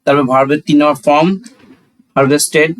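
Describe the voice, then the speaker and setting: A man's voice: a little high, flat delivery, moderate pace, rough recording. A man with a Bangladeshi accent teaching a grammar lesson step by step, often posing questions and answering them himself. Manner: instructional, asks and answers own questions, switches between Bengali and English. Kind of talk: classroom-style lesson, explaining step by step.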